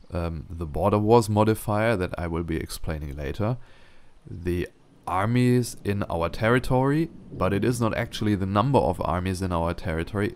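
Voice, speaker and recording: A man's voice: lowish voice, a little mellow. A man with a German accent, not a native English speaker, narrating a strategy game tutorial carefully, with frequent ums and restarts.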